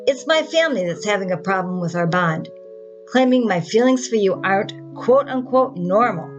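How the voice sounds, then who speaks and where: A woman acts out a defensive, sardonic woman in a screenplay monologue. She is chatty and exasperated, venting in a conversational way at a medium pitch, with music behind her. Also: lively intonation, normal speed, dull audio, close to an everyday voice. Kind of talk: dramatic monologue in a screenplay reading.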